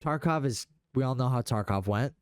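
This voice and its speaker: A man's voice: medium pitch, moderate pace, smooth, no echo, studio quality. A young man in casual gaming chat, speaking in a slangy, enthusiastic way. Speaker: podcast host.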